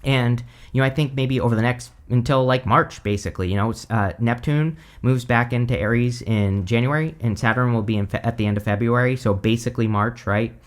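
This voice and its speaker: Man hoarse from losing his voice. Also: mid-range voice, fairly quick, hardly any pauses. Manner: rambling, full of "like", "you know" and "right?". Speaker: tarot reader. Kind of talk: casual tarot reading to camera.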